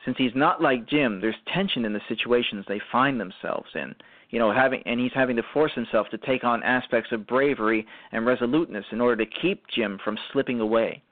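Halting male radio host, muffled audio. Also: medium pitch, no room sound. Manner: halting, often restarts and repeats phrases. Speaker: radio show host and writer-performer. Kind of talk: show commentary, semi-prepared talk.

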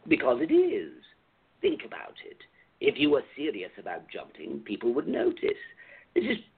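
A man acting in a radio play as a sly, sinister older recruiter for a secret club, with a gravelly voice and theatrical, insinuating delivery. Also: on the high side, huge pitch swings, normal speed, full character voice. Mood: condescending, insinuating. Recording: tinny, phone-like narrow-band audio.

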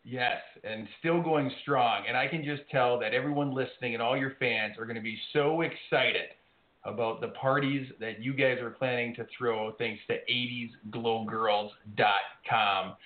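A man's voice: medium pitch, fairly even intonation, moderate pace. A man hosting a call-in radio show, upbeat and steering it from caller to caller. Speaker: radio host. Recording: roomy, phone-like sound.

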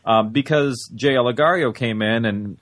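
A man's voice: mid-range voice, moderate pace, dry recording, studio quality. A man being interviewed on a podcast, talking casually in a loose, rambling way with many fillers. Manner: relaxed, rambling, heavy use of "like". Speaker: podcast guest, audio drama creator.

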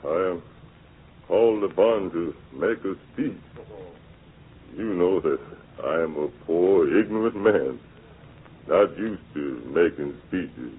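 A dignified, deferential old man in a 1940s radio play. His delivery is unhurried and swings widely in pitch, halting yet earnest. The old recording is narrow and muffled. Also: animated, slightly rough, full character voice. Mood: humble, hesitant. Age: older. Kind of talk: 1940s radio drama acting.

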